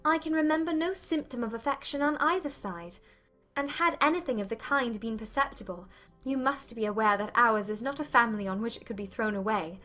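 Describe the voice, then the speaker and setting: A woman playing an earnest, thoughtful young Regency gentlewoman in a dramatized audiobook, speaking in measured, formal phrases. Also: fairly high pitch.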